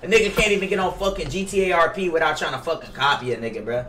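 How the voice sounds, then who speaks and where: A man's voice: on the high side, very fast, slight background noise. A young man livestreaming to his chat, brash and combative, with heavy slang and swearing. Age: young adult. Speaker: gaming livestreamer. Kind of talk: casual livestream chat to viewers. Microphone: gaming headset. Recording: roomy, distant sound under loud music. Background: loud hip hop music.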